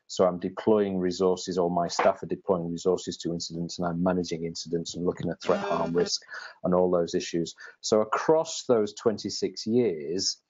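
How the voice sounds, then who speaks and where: A man's voice: low voice, a little mellow. A man in his forties, a police sergeant from the UK, speaking as an interview guest with frequent ums and restarts, on lo-fi call audio. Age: 40s. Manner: hesitant, with many ums and repeated words.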